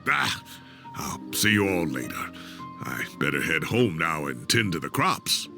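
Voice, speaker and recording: An actor playing a jovial, folksy elderly professor with a creaky voice and a theatrical, rambling manner in an anime game. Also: male voice, deep voice, very animated, measured pace, heavily acted. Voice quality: creaky old-man voice. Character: elderly male professor, jovial, homely, proud gardener. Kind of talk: anime video game voice acting. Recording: music under the voice.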